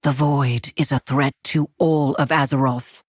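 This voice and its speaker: A regal elf leader from a game, deep voice, dry sound, measured n commanding. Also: female voice, steady tone, darkish tone, breathy edge, no room sound, heavily acted. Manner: stately, measured, authoritative. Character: stern, regal female night elf ruler. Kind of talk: dramatic video game voice acting.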